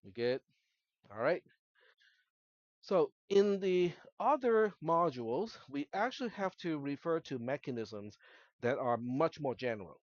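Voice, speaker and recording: A male college instructor whose native language is Cantonese explains material to his class. His voice sits a little high for a man, and he often tacks on short questions to check understanding. The sound is dry and slightly dull. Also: big pitch swings, unhurried, dry recording. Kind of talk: explanatory classroom lecture to students. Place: classroom, screen-recorded lecture.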